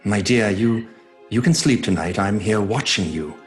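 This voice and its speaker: A male actor plays an earnest doctor with a lowish voice. He speaks with sombre, literary gravity, as in a horror audio drama, with light music underneath. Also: moderate pace, natural voice. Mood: tender, reassuring. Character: earnest, devoted male doctor narrating his journal.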